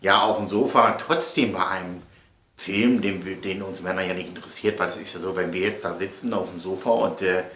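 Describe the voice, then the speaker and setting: A man's voice: deep voice, fairly even intonation, fairly quick, fairly bright tone, slight background noise. A man presenting in a casual, chatty way, rambling and emphatic, repeating phrases for effect. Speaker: presenter of his audio sketches. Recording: echoey room.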